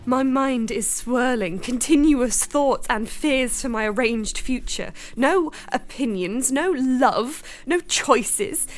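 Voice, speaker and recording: High-voiced, yearning young lady. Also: takes it slowly, hardly any pauses, soft background music. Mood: wistful, reflective. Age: young adult. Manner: formal, wistful period diction. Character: sheltered young English lady, yearning and determined. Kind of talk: radio drama acting with diary narration.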